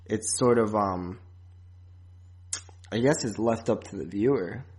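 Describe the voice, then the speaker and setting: A man's voice: on the low side, a little slow, a crowd in the background. A man hosting a podcast, chatting casually about films and often restarting his thoughts. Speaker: podcast host. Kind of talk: casual film review chat.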